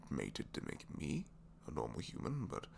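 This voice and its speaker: A man's voice: a bass voice, wildly swooping pitch, moderate pace, gravelly, darkish tone, heavily acted. A man voicing a reluctant captured supervillain, dry, sheepish and complaining, in a hesitant, rambling way, for an intimate ASMR roleplay. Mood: confiding, sheepish. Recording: faint background noise.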